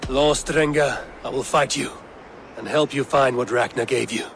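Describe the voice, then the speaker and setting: A man playing a stoic, honourable Viking warrior leader in a video game, measured and solemn. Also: mid-range voice, fairly even intonation, fairly bright tone, a little hiss or hum, natural voice. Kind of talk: dramatic video game acting.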